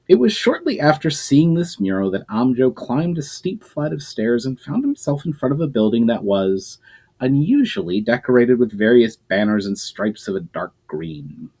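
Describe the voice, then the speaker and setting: A man narrating a fantasy story in a dramatic reading, his voice sitting at an ordinary middle pitch. He lets each sentence climb and fall, and sometimes stumbles and corrects himself as he goes. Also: darkish tone, low-quality recording. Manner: pitch rising and falling through each sentence, some slips and restarts.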